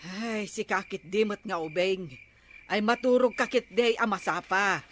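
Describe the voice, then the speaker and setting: A woman's voice: mid-range voice, measured pace, slight background noise, lightly acted. An actress playing a caring but fussy old grandmother in an Ilocano comedy radio drama. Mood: fussing.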